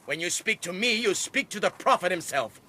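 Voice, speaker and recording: An actor playing a pompous, menacing young religious zealot in a radio drama, speaking formally. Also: male voice, high-pitched, lively intonation, a little fast, bright, forceful tone, exaggerated voice. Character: pompous, menacing young church elder's son, pious suitor.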